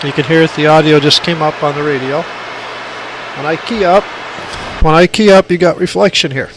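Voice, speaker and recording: A man's voice: fairly high pitch, steady tone, normal speed, background noise. A man giving an informal hands-on tutorial in a plainspoken, emphatic way, repeating his points, on an amateur phone recording. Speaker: radio hobbyist demonstrating equipment.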